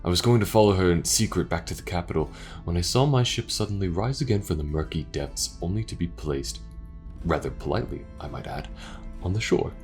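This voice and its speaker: A very deep voice for a noble alien warrior, acted by a man. He speaks in measured, old-fashioned phrases and addresses others with knightly courtesy, as in a fantasy space adventure roleplay. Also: expressive, a little mellow, faint music behind. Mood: wry, measured. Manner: formal, archaic wording, measured. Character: gallant, formal alien sailor warrior, courteous to lords. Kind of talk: audio roleplay drama.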